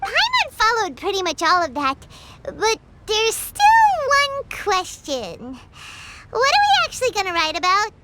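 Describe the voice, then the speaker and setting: Very high, squeaky fairy girl. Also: wildly swooping pitch, measured pace, very noisy, full character voice. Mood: curious. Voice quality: squeaky, childlike. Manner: exclamatory, refers to herself in third person. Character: small childlike floating fairy companion, eager, excitable girl. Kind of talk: anime game voice acting.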